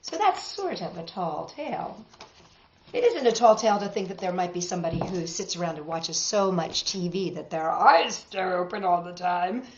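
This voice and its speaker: A woman hosting storytime for young children, talking and reading aloud in an expressive, unhurried way, addressing them directly. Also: lowish voice, a little hiss or hum. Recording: dull, roomy sound with faint music.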